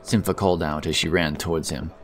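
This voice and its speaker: A man's voice: extremely low pitch, fairly even intonation, fairly quick, shouting, very noisy. A man narrating a fantasy audiobook as a scripted dramatic story, with intense, theatrical delivery.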